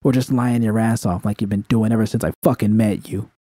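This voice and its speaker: A man's voice: fairly low pitch, steady tone, a little fast, mellow, no room sound, clean studio sound, lightly acted. A man voicing a hot-tempered woman technician in dramatic audio fiction, speaking with cutting, emotional delivery. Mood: bitter, cutting. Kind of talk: dramatic first-person audio fiction dialogue.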